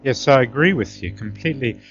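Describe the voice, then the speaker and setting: A man's voice: medium pitch, expressive, fairly quick, a bit bright, dull audio, slight background noise. A man from Tanzania, middle-aged, talking conversationally as an interview guest, emphatic and often checking for agreement as he reminisces.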